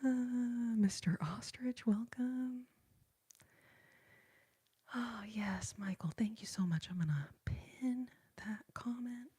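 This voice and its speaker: A woman's voice: fairly high pitch, slow, dark tone, husky. A young woman whispering to her livestream viewers during an ASMR stream, greeting them with pet names, close to a phone mic. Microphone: phone held close.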